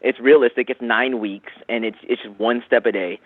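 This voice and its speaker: A man on a phone, giving a casual, slangy, persuasive sales pitch peppered with man and bro. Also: fairly even intonation, moderate pace, no room sound. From San Francisco Bay Area, USA. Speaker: phone sales representative for a self-help program. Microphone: telephone. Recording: tinny narrowband phone line.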